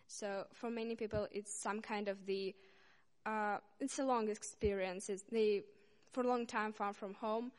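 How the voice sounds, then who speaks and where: A young woman from Saint Petersburg, Russia, a student, speaking haltingly to a church congregation with many pauses and restarts. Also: mid-range voice, fairly even intonation. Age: young adult. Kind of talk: informal speech to a congregation. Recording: reverberant hall.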